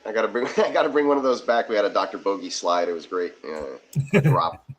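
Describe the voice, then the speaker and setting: A man co-hosting a casual sports watch-party livestream from his garage, chatty and quick to laugh. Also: on the low side, animated, a crowd in the background. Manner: laughs often, rambling and chatty. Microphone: phone.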